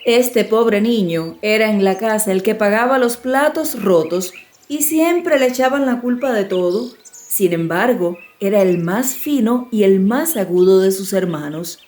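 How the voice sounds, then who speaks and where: A woman narrating a fairy tale as a scripted audiobook, in a measured, expressive storytelling manner. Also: mid-range voice.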